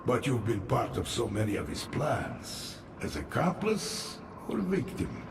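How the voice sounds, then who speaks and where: An actor playing a sly infested undead soldier in a video game, with theatrical, drawn-out delivery. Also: male voice, mid-range voice, huge pitch swings, vocal fry, background noise, full character voice. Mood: sly teasing. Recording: distant, echoey, music over voice.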